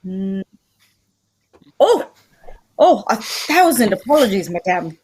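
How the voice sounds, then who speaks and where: A woman playing a proud, fussy, courteous Belgian male detective in a radio drama, with a put-on French accent and a formal, precise, pompous, husky delivery. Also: mid-range voice, big pitch swings, slow pace, a little mellow, exaggerated voice. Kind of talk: old-time radio drama acting.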